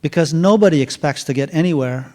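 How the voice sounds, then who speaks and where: A middle-aged man telling a story casually at a university event, halting with many fillers and restarts. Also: on the high side, a little mellow. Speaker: author speaking at a university event.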